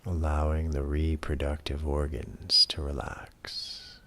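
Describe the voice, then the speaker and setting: A man leading a guided relaxation as a yoga teacher, speaking in a calm, measured, soothing way with long pauses between phrases. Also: rumbling low.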